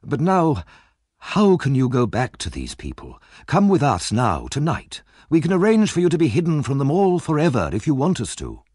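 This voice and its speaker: A man's voice: medium pitch, wildly swooping pitch, moderate pace, a little mellow, husky, no echo, studio quality, pitched above the performer's own voice. A man voicing a concerned, earnest well-wisher in an audiobook, speaking in an urging manner.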